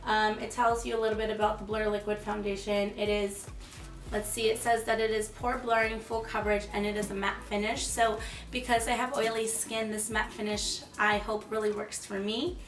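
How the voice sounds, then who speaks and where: A young woman in her twenties with a hoarse, froggy voice, chatting enthusiastically through a makeup product review. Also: medium pitch, flat delivery, moderate pace, recorded from a distance, soft background music. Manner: chatty and enthusiastic, many fillers. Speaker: beauty vlogger. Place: small room, plain wall. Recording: echoey room, slightly off-mic.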